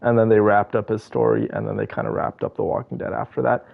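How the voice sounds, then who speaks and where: A man's voice: lowish voice, very animated, fast, gravelly, phone-quality sound. A male game streamer chatting casually with viewers in a rambling, opinionated, slangy way. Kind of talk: casual chat with viewers while playing.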